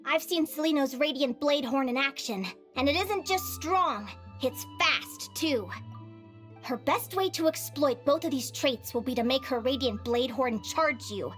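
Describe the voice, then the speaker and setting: A woman acting as an upbeat, self-assured young advisor in a video game, speaking in a friendly, expressive, conversational way. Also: high voice, unhurried, soft background music. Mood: focused.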